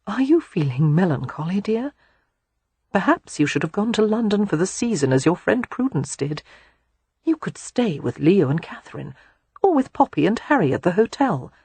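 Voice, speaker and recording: A woman voicing a gentle, caring elder sister and mother in an audiobook, soothing and softly advising. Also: medium pitch, very animated, normal speed, slightly rough, no echo. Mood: concerned, gentle. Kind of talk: audiobook character voice.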